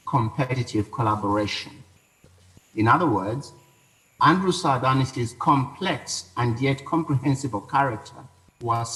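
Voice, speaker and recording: A man delivering a formal scripted eulogy at a funeral in a measured, solemn, rhetorical way. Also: medium pitch, fairly bright tone, poor audio.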